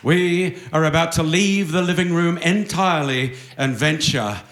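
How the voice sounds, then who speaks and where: A man performing as a wry, grandly theatrical narrator of an old-time radio play, with dramatic pauses, in an echoey live stage recording. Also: a little high, fairly bright tone. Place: stage before a live audience.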